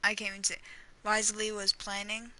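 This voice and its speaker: A woman's voice: mid-range voice, monotone, brisk, bright, forceful tone. A woman giving casual gameplay commentary, thinking aloud in a hesitant, rambling way and trailing off mid-thought. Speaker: gamer reacting and theorizing during a playthrough.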